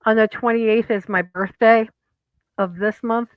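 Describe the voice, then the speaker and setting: A woman in her late fifties talks casually on a group panel. Her voice is a little high, and the sound is dry and close. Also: fairly even intonation, unhurried, dry recording, rough recording. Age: late 50s. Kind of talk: casual chat.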